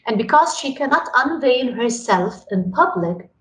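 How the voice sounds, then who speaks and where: A woman's voice: high-pitched, lively intonation, measured pace, fairly bright tone. A woman from Lebanon, earnestly telling her story in a podcast interview and often repeating words as she starts a sentence. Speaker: radio program director. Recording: echoey room, faint noise.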